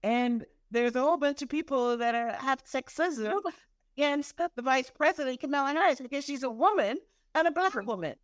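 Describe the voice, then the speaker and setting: A woman from Guyana hosting a podcast interview, chatty and animated, laughing easily. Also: high voice, normal speed, no room sound. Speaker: podcast host.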